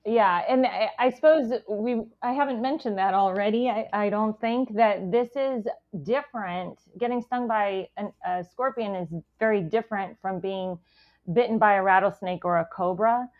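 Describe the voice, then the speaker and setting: A woman's voice: medium pitch, normal speed. American woman on a phone-like line. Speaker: medical expert podcast guest. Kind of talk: casual expert explanation.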